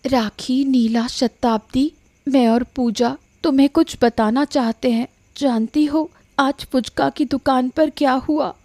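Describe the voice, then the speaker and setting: A woman's voice: sits high, fairly even intonation, a little slow, mellow. A woman voicing an earnest, polite young village stallkeeper in a cartoon moral story. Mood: concerned. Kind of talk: animated moral story dialogue in Hindi. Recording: music behind the voice.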